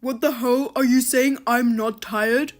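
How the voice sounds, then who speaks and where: A woman's voice: on the high side, fairly even intonation, measured pace, faint music behind, lightly acted. A woman voicing an unemployed husband who is lazy and defensive, answering in an irritable, dismissive way.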